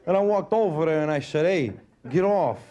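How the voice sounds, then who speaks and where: A middle-aged man with a Brooklyn accent doing a laid-back, deadpan stand-up set, full of "you know" and "uh" fillers. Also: on the high side, brisk, dull audio. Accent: Brooklyn New York. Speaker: stand-up comedian. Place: comedy club stage with laughing audience. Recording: live club recording with audience laughter and room noise.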